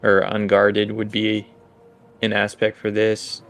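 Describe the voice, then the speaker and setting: A man's voice: on the low side, monotone, takes it slowly, creaky. A man running a tabletop game, improvising narration and rulings in a hesitant, think-aloud way. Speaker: game master.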